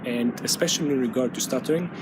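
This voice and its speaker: A man, hosting his own channel and giving earnest, measured advice with frequent pauses and fillers, recorded outdoors. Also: medium pitch. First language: Greek. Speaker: channel host, stuttering coach. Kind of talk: motivational talk to camera. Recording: loud outdoor traffic noise.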